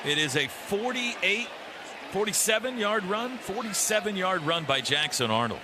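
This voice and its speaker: Male play-by-play announcer, slightly high voice, clipped excited football calls, heavy stadium noise behind. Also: moderate pace. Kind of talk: live football play-by-play commentary. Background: stadium crowd and ambience.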